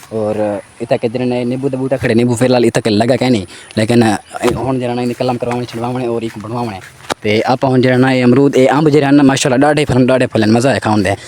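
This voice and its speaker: A man vlogging casually outdoors in the countryside, slightly hoarse from a sore throat, chatty and excited. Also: mid-range voice, a little hiss or hum. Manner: chatty, excited, calls out to people. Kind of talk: casual vlog narration.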